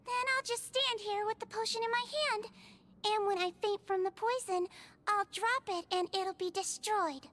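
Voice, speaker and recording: An actress playing a small, sweet but shrewd nurse in a video game, gentle, composed and matter-of-fact with a playful edge. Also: female voice, very high voice, fairly even intonation, moderate pace, slight background noise. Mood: calm, stubborn. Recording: music behind voice.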